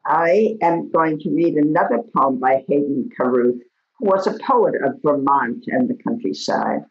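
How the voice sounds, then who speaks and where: A woman reading poetry aloud in a slow recitation, recorded in an echoey room with dull audio. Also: low voice, animated, a little mellow, soft background music. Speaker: poetry reader.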